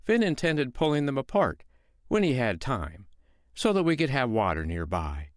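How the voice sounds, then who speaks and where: A calm, shrewd foster mother, played by a male storyteller, coaxes and teases in a sweetly sly tone. Her voice rises far above the teller's own, with swooping, lively pitch. The sound is dry and close. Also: moderate pace, no echo, lightly acted.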